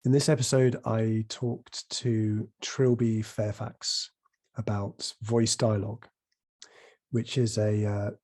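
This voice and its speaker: A man hosting a podcast interview, his voice croaky from a recent cold, speaking in a reflective, hesitant way with many pauses. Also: on the low side, little pitch movement, darkish tone. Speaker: podcast host.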